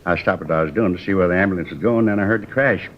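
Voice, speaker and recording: Male actor as eager American street vendor, repetitive folksy chatter, lowish pitch, radio drama, dull vintage recording. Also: racing, a little hiss or hum, natural voice. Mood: excited, eager. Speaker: supporting actor. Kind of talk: 1950s radio acting.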